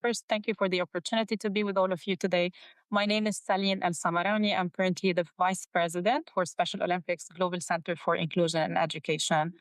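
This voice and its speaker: A woman's voice: on the low side, little pitch movement, quick pace, dry recording. A woman in her thirties with a Lebanese accent, speaking earnestly and articulately as an interview guest about her work. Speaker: guest, nonprofit education executive. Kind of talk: interview answer recounting career.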